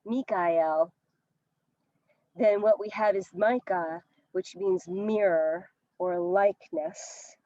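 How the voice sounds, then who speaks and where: A woman giving a deliberate lecture over a video call, repeating key phrases for emphasis. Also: lowish voice, warm tone, no room sound, muffled recording. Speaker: presenter. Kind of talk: slow lecture.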